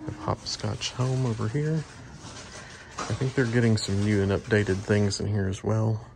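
A man's voice: fairly low pitch, a little slow, vocal fry. A man casually narrating a walk-through of a large indoor antique mall, filmed on a handheld phone. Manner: relaxed, chatty, steady. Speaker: antique booth owner touring a mall. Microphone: handheld phone camera. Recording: shop noise behind voice. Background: shop ambience.

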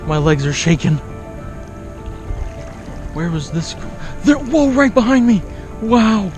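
A young man talks to a kayak-mounted action camera out on open water, his voice slightly high and his delivery unhurried between bursts of breathless, awed exclamations. Music plays clearly underneath him. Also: big pitch swings. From raised in Oklahoma, born in Kansas. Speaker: kayak fishing vlogger. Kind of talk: excited outdoor vlog commentary. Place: kayak on open sea, outdoors.

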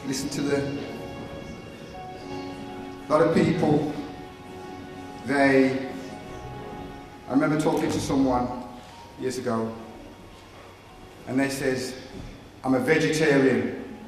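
A man's voice: medium pitch, fairly even intonation, a little slow, pauses often, a little mellow. A man in his fifties leading a funeral service as pastor, telling stories and teasing the congregation with good humor. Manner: jovial storytelling, teasing the congregation. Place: large church hall with congregation. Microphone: microphone on a stand at the pulpit. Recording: echoey hall, mic from a distance, music.

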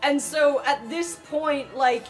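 A woman's voice: sits high, slow, faint music behind. A woman improvising descriptions as a player in a tabletop game, in a casual style.

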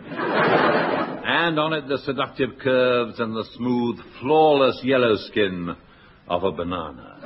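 A British man playing a deadpan adventurer in a 1960s radio comedy, telling his tale in measured, mock-dramatic fashion. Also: medium pitch, lightly acted. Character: deadpan male adventurer narrating his own detective tale. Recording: very noisy, dull 1960s radio recording.

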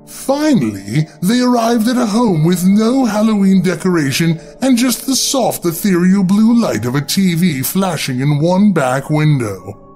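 A man's voice: high-pitched, very animated, unhurried, darkish tone. A man narrating a scripted horror story in a dramatic storytelling style. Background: background music.

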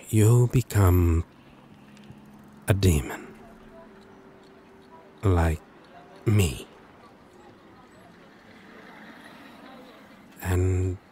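A man playing a lovesick, teasing demon in an intimate ASMR audio roleplay, halting and self-deprecating, with long pauses. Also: low voice, a little mellow, slight background noise. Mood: grave, reluctant.

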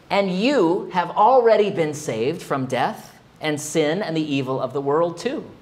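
A man in his forties, a pastor, preaching a sermon in a small church, expressive and at times emphatic. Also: slight background noise. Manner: expressive, rising to emphatic high exclamations. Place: small church sanctuary, indoors.

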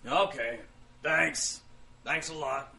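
A man playing a fastidious demon torturer in a comic fantasy audio drama, put-upon and offhand like a grumbling workman. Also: a little high, creaky, sharp tone, full character voice. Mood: weary, offhand. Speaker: voice actor.

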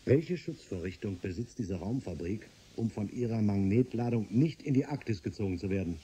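Husky, dark-voiced worried physicist. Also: male voice, on the low side, lively intonation, moderate pace. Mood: defensive. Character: worried, defensive physicist on a space station. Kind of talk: German science-fiction radio play acting.

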